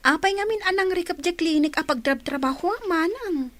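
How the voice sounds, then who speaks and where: A woman's voice: very high-pitched, animated, normal speed, fairly bright tone. A woman acting as a fretful younger sister in a dramatised audio story. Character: younger sister, fretful about household shortages.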